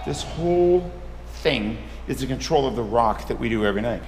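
A middle-aged man in a brewery, talking with wry, deadpan humor about his work. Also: mid-range voice, normal speed, big-room sound, faint music behind. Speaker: brewery worker. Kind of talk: mock-serious work banter. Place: brewery floor, large indoor hall. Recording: noisy machinery background.

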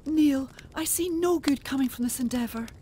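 An actress playing a gentle, devoted, worried wife in an audio drama, soothing and earnest. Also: female voice, high voice, normal speed, a little hiss or hum, natural voice. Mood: tender, worried. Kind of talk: full-cast audio drama acting.

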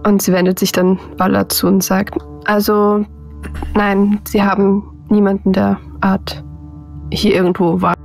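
A woman's voice: medium pitch, level tone, unhurried, gravelly, a little mellow. A woman taking part in a tabletop role-playing game, chatting casually and narrating her character. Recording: faint music behind the voice. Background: faint music.

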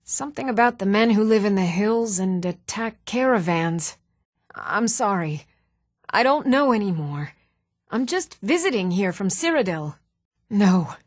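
An actress playing an even-toned fantasy townswoman in scripted video game dialogue, with a measured, composed delivery. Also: medium pitch, dry recording, clean studio sound. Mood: guarded, composed.

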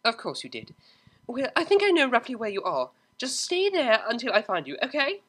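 A woman's voice: a little high, huge pitch swings, a little fast, a bit bright, voice pushed up, full character voice. A woman voicing a proper young female pony cellist who speaks in formal, precise wording. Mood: alarmed. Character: prim, proper young mare cellist, worried.

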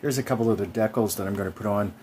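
A man's voice: on the low side, very fast, darkish tone, a little hiss or hum. A man in his forties casually narrating his bike build over clips, chatty with frequent ums. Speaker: cycling vlogger.

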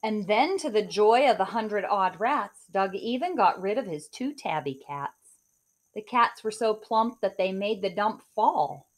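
Expressive woman reading a picture book. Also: mid-range voice, a little slow. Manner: expressive, sing-song rhyming delivery. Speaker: teacher reading aloud. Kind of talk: picture-book read-aloud. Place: home living room.

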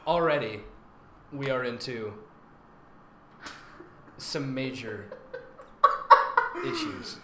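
A bright, forceful voice with a very lively, theatrical delivery reads a story aloud and mocks it. The manner is sarcastic and giggly, and the recording is roomy with faint noise behind it. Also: mid-range voice, drawn-out words, long pauses. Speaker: fanfic reader and voice actor hosting a reading. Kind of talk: mocking comedic read-aloud with running commentary.

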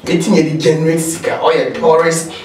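A man's voice: fairly high pitch, brisk. A man in his thirties with a Ghanaian accent, hosting a casual interview and urging viewers to subscribe. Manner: relaxed, promotional, asks for subscriptions. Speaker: host. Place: indoor studio before a brick-print backdrop. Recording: echoey room from far off.

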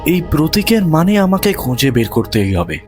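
A man's voice: fairly high pitch, sing-song, normal speed, a little mellow, soft background music, pitched above the performer's own voice. A man voicing a determined, curious young seeker in a mystery audio drama, intense and questioning as he muses. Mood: puzzled, resolute.

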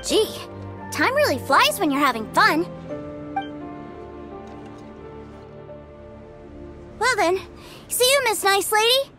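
An eager little boy, chatters brightly in a very high voice. He sounds sweet and excited. Game music plays under him. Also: normal speed. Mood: cheerful. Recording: game audio over music.

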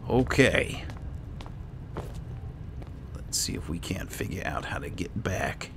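A man with an extremely low voice, thick with gravelly fry, narrates his video game role-play casually. He takes his time, musing to himself, over clearly audible background noise. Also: very animated, takes it slowly. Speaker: gaming host, role-playing as his character. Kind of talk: casual in-character game playthrough commentary.

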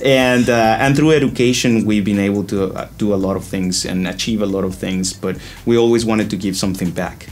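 Male executive as interview guest, lower pitch, conversational, thinks aloud with repeated words. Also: steady tone, fairly quick, hardly any pauses. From Guatemala. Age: 30s to 40s. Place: indoor studio-style office set.

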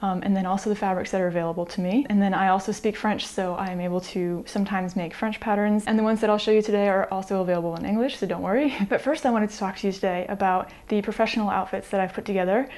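An American woman in her thirties, chatting to camera in a small home room on a lapel mic, light and easygoing, with soft laughs between thoughts. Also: medium pitch, steady tone, quick pace, few pauses. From United States. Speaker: sewing vlogger. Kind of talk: casual chat to camera.

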